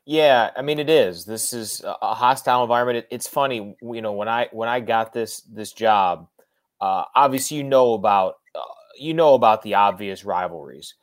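A man's voice: lowish voice, normal speed. A man giving casual, emphatic sports analysis as a guest on a podcast.

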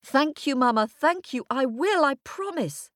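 A woman's voice: sits high, expressive, unhurried, no room sound, studio quality, pitched above the performer's own voice. A woman voicing an earnest, pleading little girl in a storybook, eager and childlike. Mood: eager, promising.

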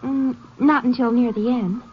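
A woman plays an eager schoolgirl in a vintage radio western. Her voice is high and girlish, polite and a little hesitant. The recording is old, dull and faintly noisy. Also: fairly even intonation, moderate pace, lightly acted. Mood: eager, shy. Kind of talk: 1950s western radio drama acting.

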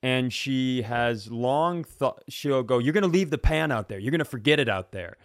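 An American man with a mid-pitched voice co-hosts a podcast. He spins casual, funny stories, wandering through them and putting himself down as he goes. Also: lively intonation, moderate pace. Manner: self-deprecating, rambling. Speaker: podcast co-host. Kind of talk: casual comedic storytelling.

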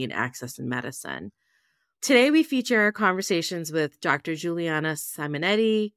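Deep smooth-voiced woman podcast host. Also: a bit bright, no room sound, clean studio sound. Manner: upbeat, enthusiastic. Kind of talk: scripted podcast introduction.

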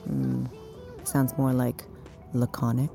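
A woman's voice: rumbling low, little pitch movement, slow pace, gravelly, mellow, soft background music, full character voice. An actress playing a blunt, wry but caring woman in an audio drama, talking in a naturalistic, teasing way. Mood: gentle, frank.

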